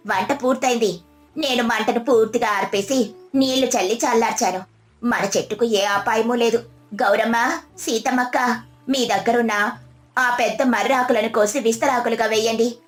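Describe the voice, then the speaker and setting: A woman voicing a brave, practical, devout village daughter-in-law in an animated moral story, speaking encouragingly. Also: fairly high pitch, moderate pace, soft background music, natural voice. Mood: grateful, warm.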